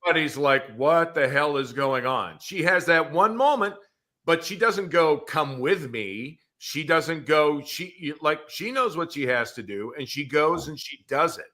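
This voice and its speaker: A man's voice: fairly high pitch, lively intonation, a little slow, pushed and bright. A man in a casual chat as a podcast guest, talking in a blunt, emphatic way.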